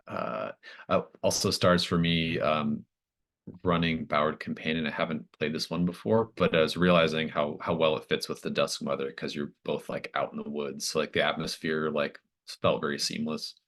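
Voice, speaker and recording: A man's voice: rumbling low, level tone, normal speed, fairly bright tone. A man casually talking his players through a session as game master, feeling his way through phrases as he goes. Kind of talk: casual wrap-up talk with players.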